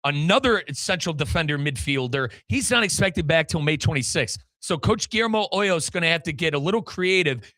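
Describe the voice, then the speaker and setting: A man hosts a soccer radio show in a bright, forceful voice that sits a little high. The sound is very dry, and he talks with emphatic, opinionated conviction. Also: lively intonation, brisk, no room sound. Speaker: sports radio host. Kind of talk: animated soccer radio talk.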